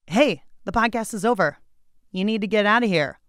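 An American woman hosts a radio show with a playful, conversational delivery. Her mid-pitched voice is chatty and wry, and music plays clearly behind her. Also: expressive, normal speed, a bit bright, no echo, studio quality. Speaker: radio show and podcast host. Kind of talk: playful conversational scripted narration.

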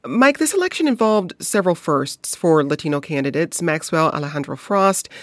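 A woman hosting a news radio show, reading introductions and listener emails in a clear, scripted way. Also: deep voice, expressive, moderate pace, no echo. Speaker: radio host.

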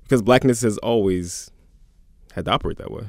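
A man co-hosts a podcast in casual chat, talking fast with a gravelly, slightly low voice. He is animated and throws in joking asides. The sound is dry and close. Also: no room sound. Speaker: podcast co-host.

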